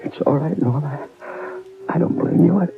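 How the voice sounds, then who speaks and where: A dying cowboy, weak and gasping, his high voice cracked and gravelly as it swings up and down, played by a man. He speaks in halting, broken phrases. It sounds like a tinny old radio broadcast with music underneath. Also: noisy background, natural voice. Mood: dying, remorseful. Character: dying young cowboy, remorseful outlaw brother. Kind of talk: 1940s radio acting.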